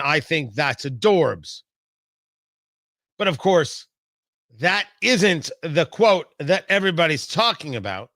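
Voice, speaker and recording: A man hosting a talk radio show, delivering an opinionated political monologue theatrically, with emphatic repeats and dramatic pauses on a close studio mic. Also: a little high, pushed and bright, dry recording. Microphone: close studio microphone.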